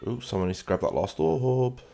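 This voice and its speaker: A man's voice: mid-range voice, expressive, brisk, vocal fry, warm tone. A man with a British London accent giving casual, rambling game commentary as a streamer and chatting with viewers as he plays. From London, England. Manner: chatty, rambling, answering viewers while playing. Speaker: gaming streamer.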